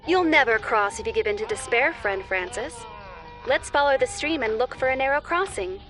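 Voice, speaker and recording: Woman playing a gentle, higher-voiced cartoon guardian angel. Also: expressive, normal speed. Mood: gentle, earnest. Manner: soothing, instructive, addresses the young viewer directly. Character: gentle nurturing female angel host of a children's cartoon. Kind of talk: children's educational show dialogue. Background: background music.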